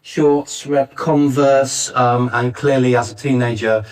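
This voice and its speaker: A man's voice: mid-range voice, steady tone, takes it slowly. A man in his late fifties talking informally as a panelist at a live discussion. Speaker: panelist, playwright and theatre director. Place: arts centre theatre, live panel. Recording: distant, varying-quality backup live recording.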